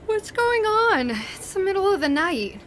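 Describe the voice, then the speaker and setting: An actress playing a concerned, practical student friend in a radio play, earnest and reassuring. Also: female voice, very high voice, sing-song, a little slow, noisy background, heavily acted. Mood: alarmed. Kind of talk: dramatic radio play acting.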